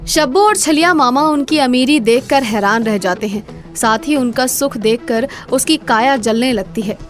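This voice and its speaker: A woman's voice: high voice, moderate pace, soft background music. A woman narrating a scripted moral story as the storyteller.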